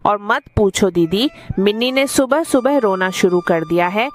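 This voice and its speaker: A woman's voice: medium pitch, expressive, moderate pace, close to an everyday voice. A woman voicing a fretful, dutiful village mother who explains things in a cartoon story. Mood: agreeable, fretting. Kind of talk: Hindi cartoon story dubbing.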